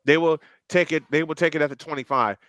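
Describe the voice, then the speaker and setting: A man calling a live football stream play by play, his tone bright and a little raised in pitch. He gets excitable and climbs high when a big run breaks. The sound is close and dry. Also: quick pace, dry recording. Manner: excitable, rises sharply on big plays. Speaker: play-by-play commentator. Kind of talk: live game commentary with banter.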